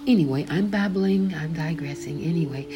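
A woman's voice: low voice, normal speed, noisy background. A woman author and host reading her devotional book aloud, earnest and conversational, calling the listener beloved. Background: background music.

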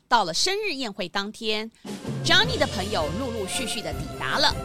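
A woman's voice: a little high, huge pitch swings, moderate pace, over music. A woman narrating a scripted children's story.

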